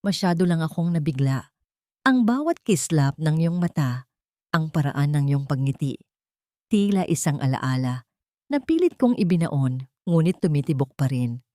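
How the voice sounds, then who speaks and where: A woman's voice: deep voice, sing-song, normal speed, warm tone, no room sound, studio quality. A woman voicing a shaken, guilt-ridden man in love, pleading in an audiobook melodrama. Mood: apologetic, yearning. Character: haunted, guilt-ridden businessman, shaken and lovestruck.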